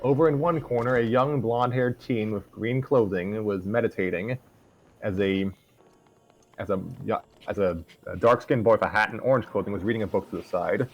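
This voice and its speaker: A man's voice: fairly low pitch, moderate pace, dull audio. A man narrating a story aloud informally, as the reader of a casual group session.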